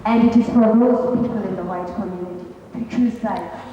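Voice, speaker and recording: A woman's voice: mid-range voice, moderate pace, recorded from a distance, slight background noise. A woman giving a political speech at an indoor rally. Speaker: student rally speaker. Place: indoor university hall. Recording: muffled, echoey hall, picked up from afar.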